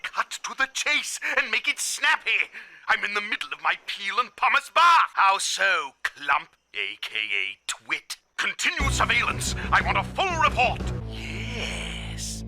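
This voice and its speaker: A pompous reptile tyrant from a cartoon, pitched high and swooping wildly from note to note. He stretches his florid words slowly, dripping with sarcasm and exasperated grandeur, like a schemer who thinks himself above everyone. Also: sing-song, heavily acted. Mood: imperious. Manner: theatrical, florid, sarcastic, drawn-out delivery. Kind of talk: animated cartoon villain acting. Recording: cartoon soundtrack with loud background music. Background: music.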